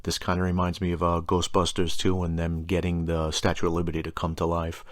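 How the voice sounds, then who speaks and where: A man's voice: sits low, completely flat delivery, brisk, no room sound. A man presenting tabletop gaming lore, reading scripted narration and adding casual, mildly wry asides in an explanatory way.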